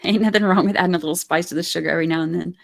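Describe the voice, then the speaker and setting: A woman's voice: fairly low pitch, steady tone, racing, vocal fry. A woman hosting a casual livestream, reading viewer comments aloud and reacting to them in a chatty way.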